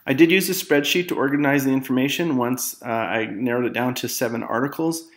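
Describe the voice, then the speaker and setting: A man narrating an academic slide presentation as a student, halting at times with self-corrections and repeated words. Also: medium pitch.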